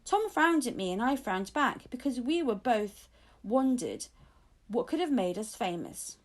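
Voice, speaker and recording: A woman narrates a children's audiobook. Her voice is a little higher than average, and she reads from a script in storytelling style. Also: big pitch swings, unhurried. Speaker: audiobook reader.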